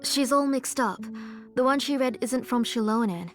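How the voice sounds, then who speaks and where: Retired artisan auntie played by a woman: fairly high voice, earnest, caring yet stern, game dialogue over music. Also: moderate pace, close to an everyday voice. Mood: explaining. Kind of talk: video game dialogue acting.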